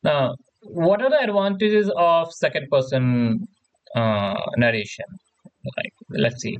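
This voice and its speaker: A man giving an informal tutorial lecture in a halting, repetitive way, often checking in with the listener. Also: on the high side, no echo, dull audio. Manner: halting, repetitive, often seeks agreement with "right?". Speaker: tutorial presenter. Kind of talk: informal lecture explaining slides.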